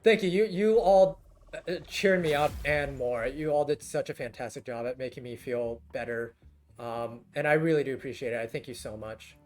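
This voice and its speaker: A young man streaming a game, chatting to viewers in a halting, rambling way full of fillers, his voice scratchy and worn down from talking for hours. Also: medium pitch. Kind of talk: casual live-stream chat with viewers.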